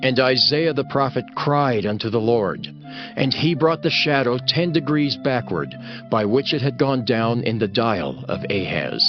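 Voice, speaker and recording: A man's voice: mid-range voice, expressive, measured pace. Guy narrating scripture, solemn storyteller, bit dull sounding, music behind him.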